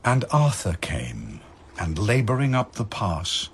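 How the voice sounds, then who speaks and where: A man narrating dramatic verse as a solemn, measured storyteller. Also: on the low side, wildly swooping pitch, breathy edge.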